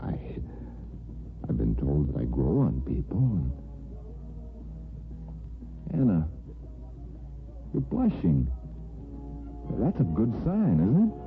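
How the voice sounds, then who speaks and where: An actor playing an earnest, lovestruck bachelor in an old-time radio drama, speaking in a folksy, emotional way. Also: male voice, low voice, wildly swooping pitch, takes it slowly, long pauses, exaggerated voice. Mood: tender, wooing. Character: earnest lovestruck bachelor neighbor, blunt and tender. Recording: tinny band-limited old radio, music behind.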